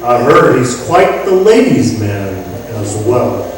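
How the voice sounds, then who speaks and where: Male actor as small-town undertaker: slow, swooping delivery, greedy and morbid, echoey room. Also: medium pitch, big pitch swings, faint music behind. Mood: envious. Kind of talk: old-time radio comedy western acting. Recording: very echoey room, distant mic, faint noise.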